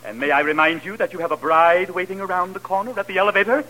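An actor playing a prim, pompous hotel clerk with a formal, sardonic manner, in 1940s radio comedy style. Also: male voice, sits high, quick pace, pushed and bright. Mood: indignant, sarcastic. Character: fussy, prim male hotel clerk, drily disapproving. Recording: noisy, humming old radio broadcast.

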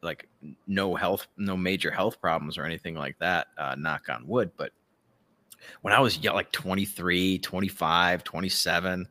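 A man with a fairly low voice hosts a casual talk podcast. He sounds sardonic and opinionated, swearing freely. The recording is very dry, with no room sound. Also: moderate pace, pushed and bright, no echo. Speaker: podcast host. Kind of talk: casual talk show commentary.

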